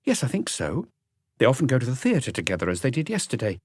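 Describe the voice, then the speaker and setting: A man's voice: medium pitch, wildly swooping pitch, fast talker, a little mellow, breathy edge, dry recording, clean studio sound. A man voicing a young, affable female concierge in an audiobook, polite, chatty and matter-of-fact. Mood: earnest. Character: young, affable woman concierge, helpful and soft-spoken. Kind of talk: audiobook character dialogue.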